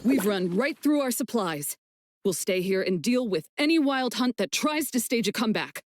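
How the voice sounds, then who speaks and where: A woman voicing a resolute soldier in a video game, confident and brisk. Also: fairly high pitch, expressive, slight background noise, lightly acted. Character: resolute female soldier holding the line.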